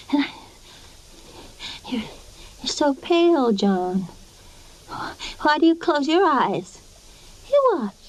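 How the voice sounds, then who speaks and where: An unhinged wife, high-voiced. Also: female voice, low-quality recording. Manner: halting, stammering, repeating phrases. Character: unhinged wife, creeping, triumphant in her madness. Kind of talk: 1940s radio acting. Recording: vintage 1940s radio recording, music behind.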